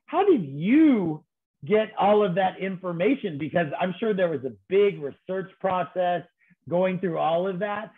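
A man moderating a virtual panel in an expressive, conversational way over a video call. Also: high voice, measured pace, husky. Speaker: panel host. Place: video call from a room at home. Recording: thin phone-like video call audio.

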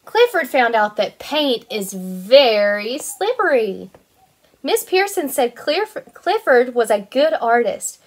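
A woman in her twenties, a kindergarten teacher reading a picture book aloud to young children in an animated, sing-song way. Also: on the high side, takes it slowly. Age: 20s.

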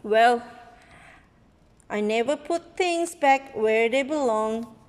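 A woman reading a picture book aloud expressively to a child, in a deliberate manner, recorded on a handheld phone indoors. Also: fairly high pitch, in a large hall. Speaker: read-aloud storyteller. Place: indoor room with wooden floor. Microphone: handheld phone near the book.

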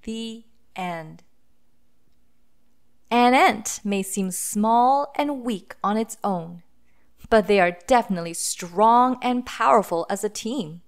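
Slow-paced woman reading to children. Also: mid-range voice. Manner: deliberate, sounding out letters and words for children. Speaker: host of children's read-aloud phonics lesson. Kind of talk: children's picture book read-aloud with phonics teaching.

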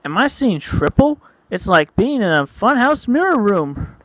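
A man voicing an excitable young hedgehog creature, wide-eyed and eager. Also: high voice, measured pace, dry recording, voice pushed up, full character voice. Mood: amazed.

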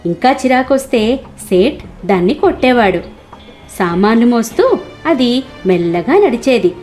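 Woman narrating a kids cartoon moral story, highish lively voice, music in the background. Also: a little slow.

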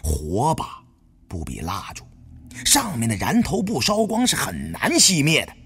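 A man's voice: mid-range voice, wildly swooping pitch, a little slow, fairly bright tone, slightly rough. A man narrating an audiobook as dramatic first-person storytelling.

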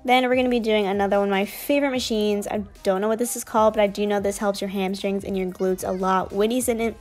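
A woman's voice: medium pitch, steady tone, quick pace, a little mellow, faint music behind. A young woman narrating her gym workout in a casual, upbeat voice-over. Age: young adult. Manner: casual, chatty, upbeat explaining. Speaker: fitness vlogger.

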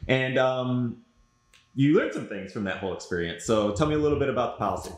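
A man hosting a friendly local interview in a pet grooming salon, upbeat and energetic. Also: mid-range voice, fast talker, roomy sound. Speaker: host.

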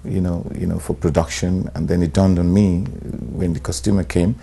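A middle-aged Nigerian man, an actor, talking in a sit-down interview, relaxed and hesitant, with many "um"s and "you know"s. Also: deep voice, moderate pace, vocal fry, slight background noise. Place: bright studio room, indoors. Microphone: lapel.

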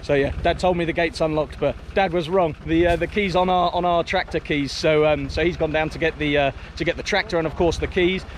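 A man's voice: on the high side, steady tone, brisk, hardly any pauses, a little hiss or hum. Rambling man narrating outdoors on a farm. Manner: rambling, restarts and repeats words. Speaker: vlogger and smallholder. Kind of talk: casual narration to camera.

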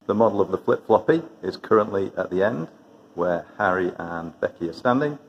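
A middle-aged man calmly explaining a model test run. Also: low-pitched, a little fast, lo-fi sound, a little hiss or hum. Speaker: researcher narrating a towing tank test.

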